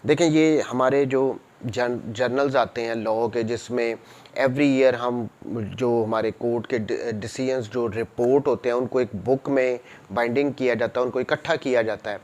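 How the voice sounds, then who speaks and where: A man's voice: mid-range voice, fairly even intonation, moderate pace, a little mellow. A man with a Pakistani accent lecturing in a didactic way, reading formal text aloud and explaining it, switching between two languages. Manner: didactic, code-switches between Urdu and English. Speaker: law lecturer.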